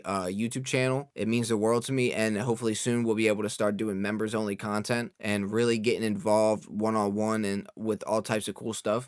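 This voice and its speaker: A young man hosting a casual software tutorial, explaining informally step by step as an audio engineer. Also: on the low side, no room sound, studio quality.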